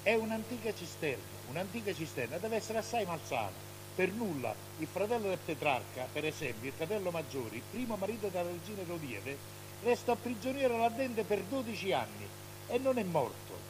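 An actor playing a curious palace soldier gossiping about a prisoner, questioning and repetitive, in stylised radio drama. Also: male voice, high-pitched, sing-song, fairly quick. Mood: grimly gossiping. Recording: very noisy radio drama recording.

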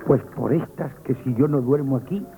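A male actor plays a scared young shop lad in a Spanish radio comedy, with a Spanish accent. He is hesitant and comically timid, at a middle pitch. The old broadcast sounds thin and tinny. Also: moderate pace, loud music behind the voice, natural voice. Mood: anxious, comic. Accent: Spanish, from Spain. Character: timid, comically frightened young shop boy, Madrid working-class. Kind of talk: 1970s Spanish radio comedy theatre acting.

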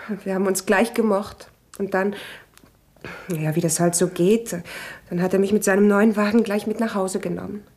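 A woman's voice: mid-range voice, fairly even intonation, moderate pace, a little mellow, lightly acted. An actress playing a young woman who kept house for a murdered man, chatty and flustered, in a 1970s radio crime drama. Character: young woman, late master's companion, flustered. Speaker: housekeeper and companion.

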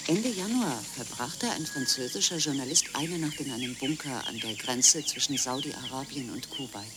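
A man's voice: medium pitch, normal speed, heavy background noise, natural voice. A man playing a grave marine biologist and reporter, reading scripted narration in a radio documentary drama. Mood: sober.

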